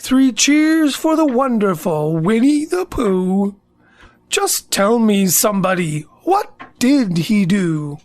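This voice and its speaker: A woman's voice: medium pitch, very animated, slow pace, darkish tone. An actor, a woman, playing a naive, self-important, food-loving bear in a children's audio drama, musing in a hesitant singsong. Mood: singsong, puzzled.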